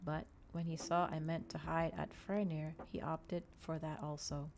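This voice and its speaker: A woman doing an ASMR reading of a novel, soft-spoken and measured, with a dramatic storytelling delivery. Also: sits low, little pitch movement, creaky, slight background noise. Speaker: streamer reading a novel aloud.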